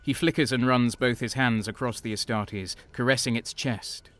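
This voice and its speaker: An actor playing a haunted, horror-struck soldier who narrates his own nightmare in an intense, halting, theatrical audio drama style. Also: medium pitch, fairly even intonation, fairly bright tone, no echo, soft background music, close to an everyday voice. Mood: gripped horror.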